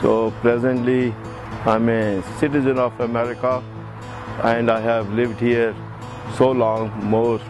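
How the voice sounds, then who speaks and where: Older man, Indian accent, medium pitch, interview answers, clear music bed. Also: flat delivery, measured pace. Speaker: interviewee, chemical engineer.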